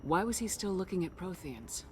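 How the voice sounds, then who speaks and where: A woman playing a steady human military commander in a video game, speaking directly and with assurance. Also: lowish voice, moderate pace, a little hiss or hum, natural voice. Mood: direct, probing.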